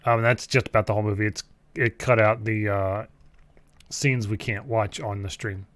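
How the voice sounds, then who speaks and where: A man's voice: fairly low pitch, fairly even intonation, moderate pace, a bit bright, dry recording. Blunt man in a close, dry recording. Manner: blunt, profane. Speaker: show co-host. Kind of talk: casual commentary on movies and games.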